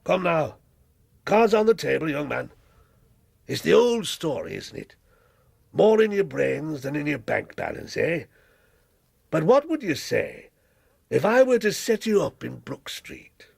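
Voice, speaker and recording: An actor playing a shrewd, domineering older businessman in a period radio drama, with a gravelly, husky voice and a brusque, wheedling manner. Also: fairly high pitch, big pitch swings, a little slow, pauses often, no echo, clean studio sound, full character voice. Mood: pushy, jovial.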